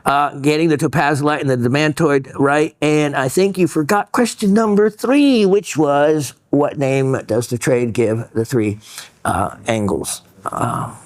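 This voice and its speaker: A man hosting a gemstone show, reading viewer letters and chatting in a rambling way with many pauses and restarts. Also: fairly high pitch, dry recording. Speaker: host reading viewer mail. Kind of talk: casual educational chat.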